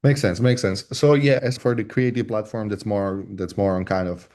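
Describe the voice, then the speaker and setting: Low mellow-voiced man from Slovakia. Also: steady tone. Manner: halting, many restarts and fillers. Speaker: voice agent platform lead. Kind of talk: casual panel discussion.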